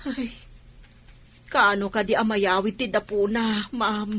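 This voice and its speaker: A woman's voice: medium pitch, little pitch movement, slow pace, a bit bright. A woman playing a caring, inquisitive and respectful household helper with a Filipino accent in an audio drama. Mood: curious, sympathetic. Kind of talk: Ilocano audio drama acting.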